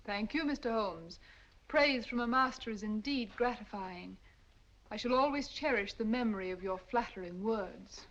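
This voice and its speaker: A woman's voice: fairly high pitch, normal speed, fairly bright tone, close to an everyday voice. A woman playing a cunning, poised villainess in an old radio drama, with silky, polished politeness. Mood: scheming. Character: cunning, poised female villain, gracious and cold. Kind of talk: 1940s radio acting. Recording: dull old radio audio.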